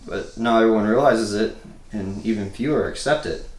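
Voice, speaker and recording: A man reading the part of a pensive, quietly resigned teenage boy in a table read. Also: lowish voice, moderate pace, lightly acted. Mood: pensive, subdued. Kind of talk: table read of a film script. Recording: roomy echo with faint noise.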